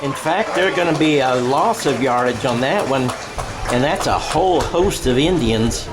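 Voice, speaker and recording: An American man calling a football game radio-style in a conversational, halting way, often correcting himself. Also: mid-range voice, animated. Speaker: play-by-play sports commentator. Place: outdoor high school football game. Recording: crowd noise behind the voice.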